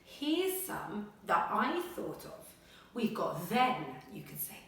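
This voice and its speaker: A woman primary school teacher speaking to children and reading a picture book aloud in a dramatic, expressive way. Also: mid-range voice. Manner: dramatic, expressive, with gasps and suspenseful pauses. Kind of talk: animated children's story reading and lesson. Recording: very echoey room, slightly distant mic.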